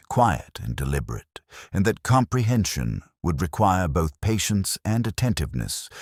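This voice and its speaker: A man narrating a first-person literary detective story in a measured, formal, contemplative manner. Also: low-pitched, no room sound, clean studio sound.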